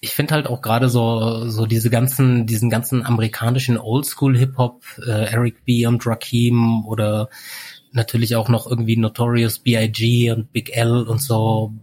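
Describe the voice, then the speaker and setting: A man in his forties telling stories in a relaxed, rambling way, full of fillers, as a guest in a casual chat. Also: mid-range voice, moderate pace. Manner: relaxed storyteller, many fillers like "halt" and "irgendwie". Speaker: podcast guest.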